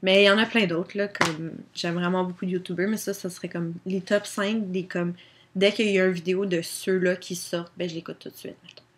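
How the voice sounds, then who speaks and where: A woman's voice: on the low side, fairly even intonation, a little fast, a little hiss or hum. A young woman with a Québécois French accent chatting casually to her webcam, answering questions with many fillers. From Quebec. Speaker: vlogger.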